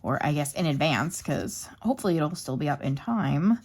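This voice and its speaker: A young woman chats to her viewers while she colors, her voice sitting a little low and turning slightly hoarse. She rambles easily from one thought to the next in a casual, unscripted way. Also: expressive, moderate pace, darkish tone. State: losing her voice. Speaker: craft YouTuber. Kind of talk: casual chatty craft vlog.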